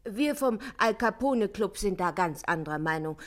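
A woman plays a young spokeswoman for a gangster fan club in a vintage radio satire. She declaims solemnly and earnestly at a medium pitch, with an indignant edge. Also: lively intonation, moderate pace, close to an everyday voice. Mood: solemn, admiring. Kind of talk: 1950s German radio crime satire acting.

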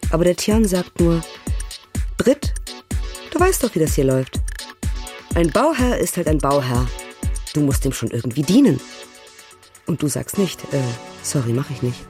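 A woman's voice: deep voice, mellow, full character voice. An actress playing an exasperated German woman architect living abroad, speaking colloquially in a documentary radio play. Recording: music behind the voice.

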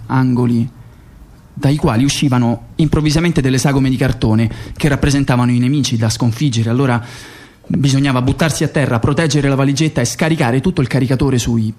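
Man acting a subdued secret agent recounting his mission, mellow voice. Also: mid-range voice, natural voice. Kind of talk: radio theatre monologue, narrated.

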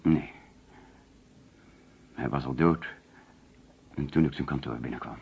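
A middle-aged male suspect in a radio crime play, very deep and husky. He is a defensive, hesitant businessman on edge, heard through a dull old recording. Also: takes it slowly, heavily acted. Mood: nervous. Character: agitated, defensive middle-aged businessman under police questioning. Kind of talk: radio drama acting.

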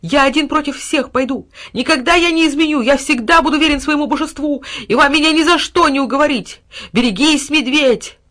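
Storybook dog, devoted and combative, played by a woman with bright, high, fervent shouts. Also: moderate pace. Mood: defiant, fervent. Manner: excited shouting, fervent exclamations. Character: loyal, fierce, devoted male dog defending his master.

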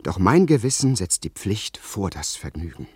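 A man's voice: low-pitched, very animated, moderate pace, a little mellow, slightly rough, no room sound, studio quality, exaggerated voice. A man playing an earnest, vain, lovestruck young English aristocrat with florid, theatrical delivery in a German radio play. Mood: resolved, musing.

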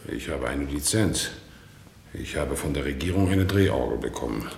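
Very deep-voiced, humbled, bewildered man. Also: lively intonation, normal speed, creaky, full character voice. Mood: bewildered, helpless. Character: wronged, bewildered organ-grinder man, humble and pleading. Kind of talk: 1960s German radio play acting. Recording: roomy 1960s radio recording with rumble.

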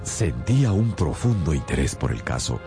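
Radio drama narrator: loyal gentleman companion, extremely low register, measured yet expressive, music behind. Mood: earnest, absorbed. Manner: measured, storytelling. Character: loyal gentleman narrator, the detective's admiring male friend. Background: music underneath.

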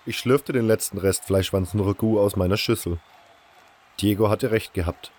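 A man playing a fantasy convict who recounts camp life in measured, matter-of-fact first-person audio drama narration. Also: lowish voice, steady tone, a little mellow, natural voice. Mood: matter-of-fact recollection.

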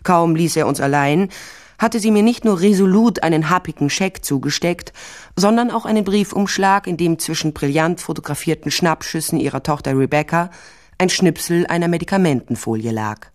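Low female voice, dry wit, self-possessed private eye, ex-cop, acted by a woman, crime radio play. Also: moderate pace, lightly acted. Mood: wry, confiding. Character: tall sardonic female private detective, former police officer. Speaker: lead actor and first-person narrator. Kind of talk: crime radio drama, dialogue and intimate narration.

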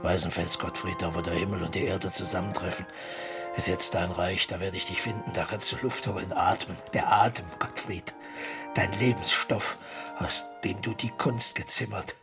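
Low-voiced, brooding theatrical man acted out. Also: little pitch movement, normal speed, over music, close to an everyday voice. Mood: mournful, rapt. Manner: theatrical, poetic, declamatory. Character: brooding, lyrical man of an earlier century. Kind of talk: German period radio drama acting.

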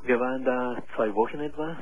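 A man in his forties from Chile, calling in to a radio show and speaking haltingly with many pauses and fillers. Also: medium pitch, phone-quality sound, a little hiss or hum. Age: 40s. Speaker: caller. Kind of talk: call-in radio conversation.

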